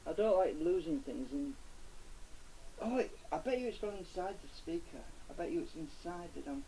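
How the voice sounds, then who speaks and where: A man with a slightly high, mellow voice talks casually as he works on a repair. He mutters and thinks aloud in an unscripted way. The amateur camera recording carries some faint background noise. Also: animated, normal speed, poor audio. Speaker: hobbyist electronics repairer presenting his own video. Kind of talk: casual unscripted commentary while working.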